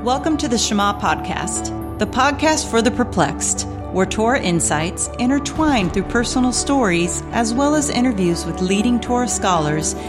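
Woman announcer over prominent music. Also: mid-range voice. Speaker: podcast intro announcer. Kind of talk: scripted promotional narration.

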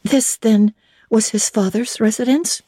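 A woman narrating classic literature in a dramatic, measured and formal storytelling style. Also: a little high, mellow, breathy edge, dry recording. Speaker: audiobook narrator.

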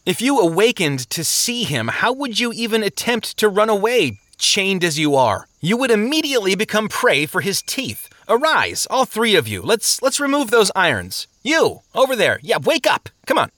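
A man's voice: high voice, sing-song, normal speed, hardly any pauses, sharp tone, dry recording, studio quality, voice pushed up, full character voice. A man voicing an earnest, anxious pilgrim in an audiobook, insistent and preachy as he pleads with others. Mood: urgent warning. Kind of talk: audiobook character voice.

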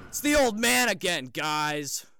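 An actor playing an insolent, taunting young troublemaker in an audio drama. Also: male voice, high-pitched, sing-song, a little slow, vocal fry, very noisy, exaggerated voice. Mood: sneering, taunting.

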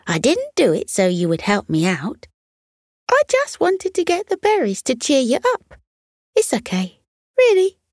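A woman's voice: high voice, wildly swooping pitch, measured pace, a little mellow, dry recording, studio quality, pitched above the performer's own voice, exaggerated voice. A woman voicing a small, modest, kindly male squirrel with an earnest, bashful manner in a bedtime story. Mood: modest, reassuring. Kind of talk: children's bedtime story character voice.

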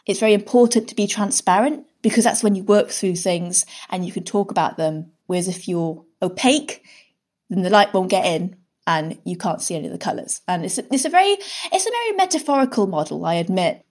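A British woman speaks as a guest in a casual interview. Her voice sits at a middle pitch, and she is chatty and candid. She explains things through personal examples, often voicing her own self-talk. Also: animated, a little fast, darkish tone. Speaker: guest, scientist and writer.

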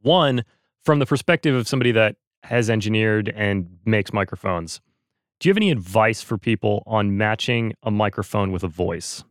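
Low-ish voiced guy hosting a podcast, chiming in with short affirming replies. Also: normal speed. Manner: encouraging, affirming. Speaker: podcast host. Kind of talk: interviewing, brief responses.

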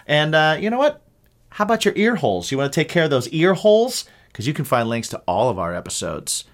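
A man's voice: a little high, very animated, fairly quick. A man co-hosting a podcast, joking around playfully while he promotes the show's site. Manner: jokey, playful banter. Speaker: podcast co-host. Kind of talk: casual chat.

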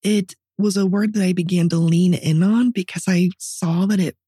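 A woman with a raspy voice, co-hosting a podcast and telling personal stories in a candid, reflective, conversational way. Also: lowish voice, steady tone, normal speed, dark tone, no room sound, clean studio sound. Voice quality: raspy voice from partly paralyzed vocal cords. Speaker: podcast co-host. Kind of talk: personal storytelling in casual podcast chat.

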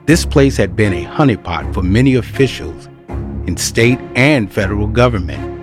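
A man narrating a scripted audio drama as a storyteller. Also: lowish voice.

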